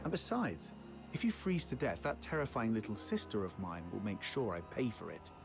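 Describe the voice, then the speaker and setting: An actor playing a brash, loyal young fox hunter in a video game, with cocky, teasing bluster. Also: male voice, on the low side, huge pitch swings, normal speed, gravelly, over music, full character voice. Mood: teasing indignant.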